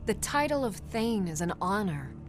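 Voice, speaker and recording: A woman playing a dutiful warrior retainer in a fantasy game, speaking in a formal, steady, plainspoken way. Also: medium pitch, normal speed, music in the background, natural voice. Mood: dutiful, respectful. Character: dutiful, loyal female warrior housecarl. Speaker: voice actor in a fantasy video game.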